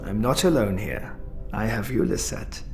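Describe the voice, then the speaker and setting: A wistful, theatrical immortal gentleman. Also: fairly low pitch, expressive, faint music behind. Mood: tender, hesitant. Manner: theatrical, eloquent, halting when pressed. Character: wistful, evasive immortal man, grieving and worldly. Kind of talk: audio drama acting.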